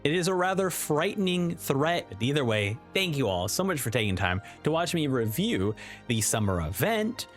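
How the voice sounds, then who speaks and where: Male game reviewer, lively swooping intonation, casual and emphatic, faint music behind. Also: fairly high pitch, normal speed. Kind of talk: casual scripted video review.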